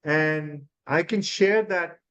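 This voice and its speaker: A man's voice: fairly high pitch, slow pace, fairly bright tone, smooth, highs cut off. A man from India, an ENT surgeon, explaining nasal treatment in an interview with many fillers and restarts. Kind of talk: expert interview answers.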